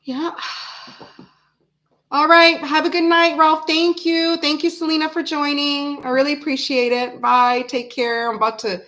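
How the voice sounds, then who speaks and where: A woman's voice: sits high, little pitch movement, a little slow, pushed and bright. A woman in her forties, raised in Florida and living in Canada, with a mixed American and Canadian accent, chatting loosely on a livestream and answering comments with lots of fillers and restarts. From Canada, raised in South Florida. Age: 40s. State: tired. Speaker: livestream host. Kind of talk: casual chat reading live comments.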